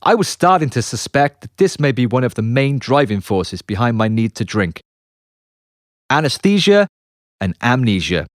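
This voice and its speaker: A man reading his own memoir as an audiobook, in an expressive, confessional storytelling manner with dramatic pauses. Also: medium pitch, dry recording, clean studio sound. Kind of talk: scripted memoir narration. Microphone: close, dry studio narration mic.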